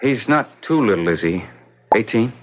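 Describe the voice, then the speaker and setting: Low-voiced, reluctant defense lawyer. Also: male voice, expressive. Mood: reluctant, reassuring. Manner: measured, polite, reasoning. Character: weary, reluctant male criminal defense lawyer, reasonable and courteous. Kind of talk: old-time radio drama acting. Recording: dull lo-fi old radio recording.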